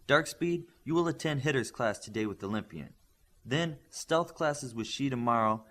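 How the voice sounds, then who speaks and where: A man's voice: mid-range voice, expressive, moderate pace, fairly bright tone. A man voicing a stern, demanding superhero team coach in an audiobook, giving clipped, commanding instructions. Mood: emphatic.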